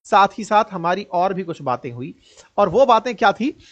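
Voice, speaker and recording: A man's voice: sits high, very animated, moderate pace, muffled recording. An Indian man giving informal, colloquial news commentary with a mocking edge, often putting rhetorical questions straight to his listeners.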